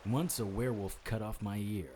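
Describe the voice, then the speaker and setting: Man playing a really low-voiced noir detective, deadpan and world-weary, watery noise behind him. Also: moderate pace, close to an everyday voice. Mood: grim, wry. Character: hardboiled male supernatural investigator, wry, world-weary, stoic. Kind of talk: first-person noir audio drama narration.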